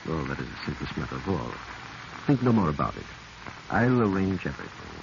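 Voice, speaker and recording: Deep-voiced, suave official. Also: male voice, very animated, creaky, exaggerated voice. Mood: courteous. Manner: formal, polite, measured phrasing. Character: suave, courteous foreign official, quietly shrewd. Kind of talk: 1940s radio acting. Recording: dull, noisy vintage radio recording.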